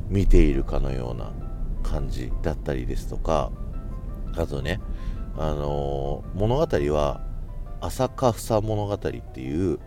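Guy in his 30s, really deep voice, casual podcast host chat, thinking out loud, faint music behind. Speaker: podcast host who works in radio sales.

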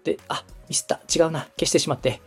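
A man's voice: fairly high pitch, fairly even intonation, quick pace, husky, faint music behind. A man from Osaka speaking as a podcast host and radio director, coaching conversationally in a polite, explanatory, step-by-step way. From Osaka, Japan.

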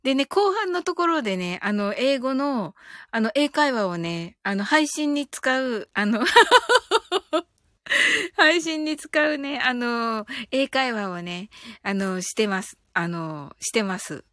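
A woman with a high voice hosts a casual livestream. She is chatty and giggly as she reads out and replies to comments, trailing little particles at the ends of phrases. The sound is dry and close, with hardly any room. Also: expressive, slow, a bit bright, no room sound. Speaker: live audio stream host. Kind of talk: casual livestream chat, reading out and replying to listener comments.

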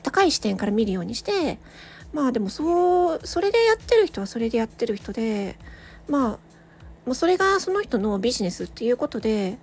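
A woman hosting a solo audio show, chatting casually and reflectively to listeners, thinking aloud with hesitant pauses. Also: fairly high pitch, very animated, a little mellow. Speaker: solo audio channel host, amateur voice actor.

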